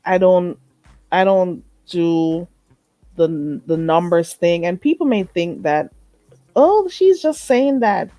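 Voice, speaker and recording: A woman's voice: lowish voice, animated, slow, dark tone, no echo. Woman host, stuffy and a bit nasal, emphatic live-stream chatter, dry close mic. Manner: chatty, emphatic, repeats herself. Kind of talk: late night live chat with viewers.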